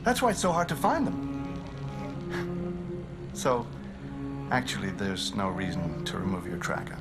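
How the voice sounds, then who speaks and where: An actor playing a sinister, smug man who experiments on androids in a video game, with a slow, mock-polite, condescending delivery. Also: low-pitched, huge pitch swings, heavily acted. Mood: smug, menacing. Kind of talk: dramatic video game acting. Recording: game music behind the voice.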